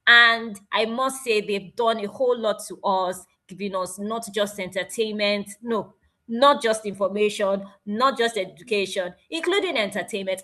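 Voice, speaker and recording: A woman with a Nigerian accent presenting informally at an online event, emphatic and animated, with exclamations and repeated phrases. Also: medium pitch.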